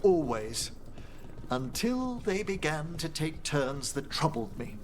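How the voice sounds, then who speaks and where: A scholarly older gentleman necromancer for a video game. He is formal and eloquent, with animated, theatrical melody over clear ambient noise. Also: fairly high pitch, slow. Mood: measured, wistful.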